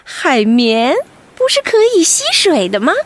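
A woman storyteller narrating an expressive bedtime story for children, animated and speaking to them directly. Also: on the high side, unhurried.

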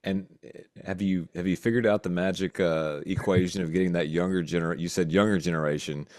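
A man in his fifties with a low voice puts casual questions to a guest as an interviewer. He is recorded close and dry on a podcast mic. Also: fairly even intonation, brisk, a little mellow, no room sound. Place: small home office, indoors. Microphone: close boom-arm podcast mic.

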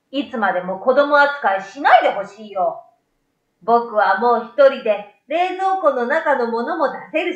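A woman's voice: a little high, reverberant, dull audio, close to an everyday voice. A woman voicing a young schoolboy in a storybook reading, childlike and exclamatory, self-assured yet easily startled.

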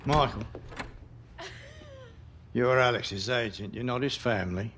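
A man's voice: mid-range voice, unhurried, fairly bright tone, a little hiss or hum, lightly acted. An actor playing a protective, disapproving, old-fashioned grandfather in a video game. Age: older.

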